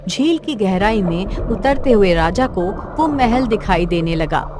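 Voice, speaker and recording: A woman's voice: medium pitch, animated, unhurried. A woman reading scripted narration for a cartoon fairy tale as the storyteller. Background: music.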